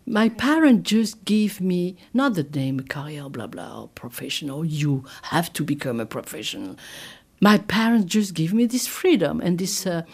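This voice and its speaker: Frenchwoman speaking halting English. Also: lowish voice, lively intonation, slightly rough. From southern France. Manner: halting, searches for words in a second language. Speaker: interviewee, double bassist. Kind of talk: interview recollection in English.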